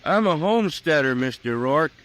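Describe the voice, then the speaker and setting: Casting: stubborn rural American settler, folksy, deliberate, slightly high pitch, old radio western. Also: male voice, animated, a bit bright, rough recording. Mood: firm. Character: dogged, plain-spoken homesteader standing up to ranchers.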